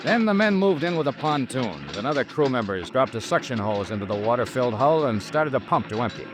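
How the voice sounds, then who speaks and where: A man's voice: medium pitch, sing-song, fairly quick, natural voice. Man playing a hard-boiled radio investigator, music underneath. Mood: matter-of-fact narrating. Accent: American. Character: hard-boiled, skeptical male insurance investigator. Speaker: lead actor and first-person narrator. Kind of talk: 1950s radio detective acting and scripted narration. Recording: vintage radio recording with music.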